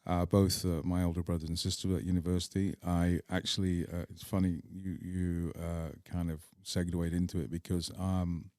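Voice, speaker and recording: A middle-aged man with an English accent shares memories in an interview. His voice is very low and relaxed, recorded close and dry. He speaks deliberately, setting phrases apart with pauses for emphasis. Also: dry recording. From England. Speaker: podcast guest. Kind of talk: reflective personal storytelling in an interview.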